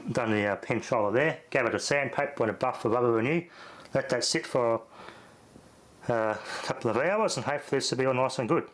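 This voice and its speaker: A man's voice: medium pitch, fairly quick, fairly bright tone. A man with an Australian accent giving a casual, unscripted demonstration in a laid-back, rambling, matter-of-fact way. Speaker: host of a repair channel.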